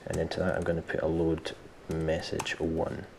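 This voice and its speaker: A man presenting a software tutorial step by step, informally thinking aloud as he explains. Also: extremely low pitch, monotone, normal speed, creaky, slight background noise. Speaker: tutorial host.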